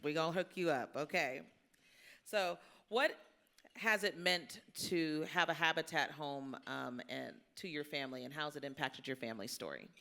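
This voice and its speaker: Bright-voiced woman hosting a live panel. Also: sits low, normal speed, gravelly. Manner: encouraging, folksy, colloquial. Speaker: panel moderator. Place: indoor luncheon event before an audience. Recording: live event room with crowd.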